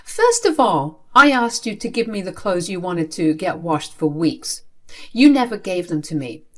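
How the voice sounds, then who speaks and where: A woman's voice: lowish voice, very animated, unhurried, a bit bright, exaggerated voice. A woman voicing a wronged teenage daughter who argues back in an exasperated, pleading way in a dramatized story. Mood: tired, defensive. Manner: pleading, indignant.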